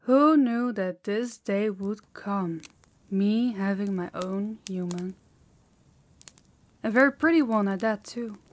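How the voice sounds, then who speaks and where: A woman acts out a dominant, sadistic demoness in an intimate audio roleplay. She takes her words very slowly at a middling pitch, possessive and menacing, taunting her listener with haughty command. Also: slight background noise, close to an everyday voice. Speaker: voice actor.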